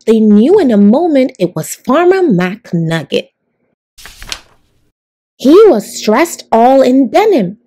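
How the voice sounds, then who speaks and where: Female storyteller for children's read-alouds: highish voice, very lively intonation, addressing young listeners deliberately. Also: husky. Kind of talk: expressive picture-book read-aloud for children.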